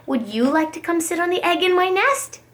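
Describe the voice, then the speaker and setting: A woman's voice: very high-pitched, expressive, brisk, a bit bright, voice pushed up. A woman voicing a lazy, self-centred female bird from a children's storybook, coaxing and complaining.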